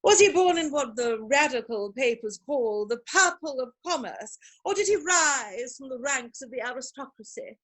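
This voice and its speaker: A woman playing an imperious, snobbish older aristocratic mother in a theatrical comedy reading, speaking with pompous, deliberate emphasis. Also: high voice, animated, fairly bright tone. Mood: scornful, inquisitive. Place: virtual performance from home in isolation.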